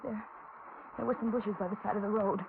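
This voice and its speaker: An actress playing a frightened young waitress held hostage, with anxious, halting delivery in 1940s radio suspense style. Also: female voice, mid-range voice, steady tone, vocal fry, natural voice. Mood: anxious narration. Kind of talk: 1940s radio suspense acting, dramatic narration. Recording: tinny, noisy 1940s radio recording.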